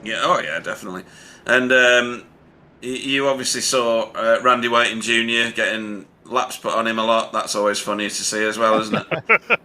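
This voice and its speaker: A man working as a sim racing commentator, chatting casually and haltingly as he interviews drivers over online voice chat. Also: fairly low pitch, bright, forceful tone. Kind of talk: casual post-race interview.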